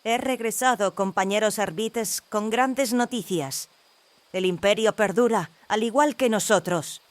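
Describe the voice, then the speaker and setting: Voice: mid-range voice, a little slow, no echo, pitched above the performer's own voice, close to an everyday voice. A performer voicing a solemn, ceremonious clone leader who declaims grandly in an audio drama. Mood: triumphant.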